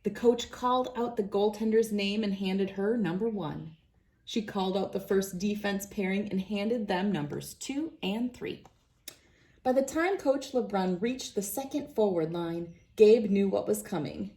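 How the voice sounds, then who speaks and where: Mellow-voiced woman reading a storybook. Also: medium pitch, measured pace. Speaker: children's book reader. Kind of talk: read-aloud storybook narration.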